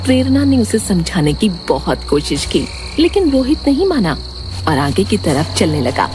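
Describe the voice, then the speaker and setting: A woman narrating a scripted story in an expressive storytelling manner. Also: medium pitch, moderate pace, noisy background.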